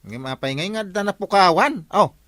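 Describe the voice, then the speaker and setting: A man's voice: sits high, animated, normal speed, fairly bright tone, dry recording, studio quality. A man with an Ilocano Filipino accent playing a sly village patrolman in a comedy radio drama. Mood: inquisitive.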